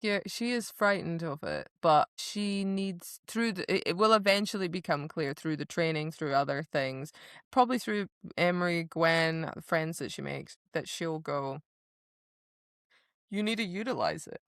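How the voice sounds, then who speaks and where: A woman podcast host chats casually about books in a slightly low-pitched voice. She is emphatic and gushing, full of informal asides. The sound is close and dry, with little room on it. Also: a little slow, smooth, no room sound. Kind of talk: casual book discussion chat.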